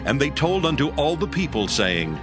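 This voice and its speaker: Grave expressive male scripture narrator. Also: on the high side, moderate pace. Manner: steady, grave storytelling. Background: music.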